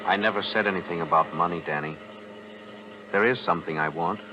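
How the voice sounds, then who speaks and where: An actor playing a grim accomplice biding his time in a 1940s radio thriller, speaking in a measured, cryptic way. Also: deep voice, noisy background, lightly acted. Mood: quietly menacing. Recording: muffled old 1940s radio recording.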